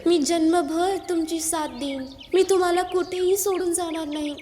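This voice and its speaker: A gushing young bride, played by a woman, pours out sugary, flowery devotion in a very high voice. The long reverb of a hall trails after her words, and a faint hiss sits underneath. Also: fairly even intonation, normal speed, warm tone. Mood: syrupy. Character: doting, overly devoted young newlywed wife. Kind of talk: comic stage skit acting.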